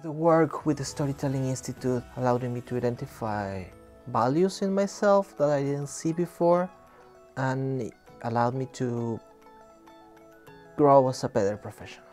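A man's voice: medium pitch, moderate pace, a little mellow. A young man from Ecuador, a native Spanish speaker with an Ecuadorian accent, talking in a documentary interview as a student video producer. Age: young adult. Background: faint music.

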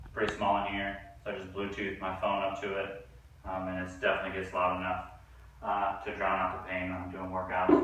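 A young man giving a casual, relaxed tour of his home garage gym, filling pauses with ums and uhs. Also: sits low. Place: two-car home garage gym, indoors. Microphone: camera mic from a few meters away. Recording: echoey garage, distant from mic.

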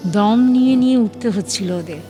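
A woman's voice: a little high, moderate pace. A woman reading dramatic scripted narration for an audio story. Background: faint music.

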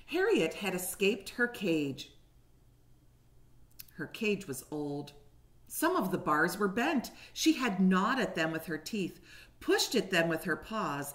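A woman's voice: on the low side, very animated, takes it slowly. A woman around her forties, a kindergarten teacher reading a picture book aloud expressively and putting questions to young listeners, recorded at home on a webcam. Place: living room at home, indoors. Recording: roomy echo on a home webcam.

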